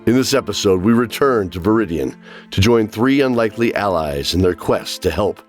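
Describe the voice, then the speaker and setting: A man's voice: fairly low pitch, lively intonation, normal speed. A man working as narrator, reading scripted fantasy narration. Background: music.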